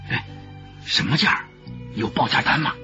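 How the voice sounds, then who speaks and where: An actor playing a jovial, smooth-talking boss who haggles effusively in a Chinese radio drama, peppered with interjections. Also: male voice, medium pitch, normal speed, sharp tone. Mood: jovial, eager. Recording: dull radio drama audio with background music.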